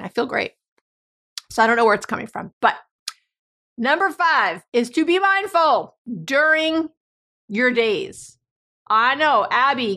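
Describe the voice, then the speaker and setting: Woman, slightly hoarse, chatty, higher pitch, self-interrupting advice talk. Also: bright, forceful tone. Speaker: podcast host and coach. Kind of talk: casual advice monologue.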